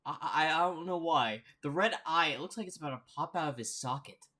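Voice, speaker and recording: A man's voice: a little high, fairly quick, sharp tone. A man hosting a casual reaction commentary, thinking aloud and often repeating and rephrasing himself. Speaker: reaction host.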